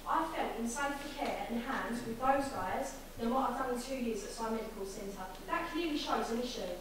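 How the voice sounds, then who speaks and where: A woman in her twenties speaking up at a public meeting, forceful and indignant as she describes how she was treated. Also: on the high side, normal speed. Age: 20s. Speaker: audience member raising a complaint. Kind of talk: impassioned public-meeting statement. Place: large public meeting hall. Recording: very echoey hall, far from mic, noisy.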